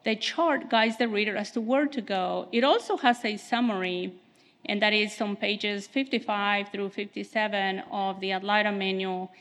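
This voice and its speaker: Soft-voiced woman lawyer giving a careful talk at a podium mic, long hall echo. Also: medium pitch, moderate pace. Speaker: attorney giving a legal presentation. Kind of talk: conference presentation. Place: conference hall. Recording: reverberant hall.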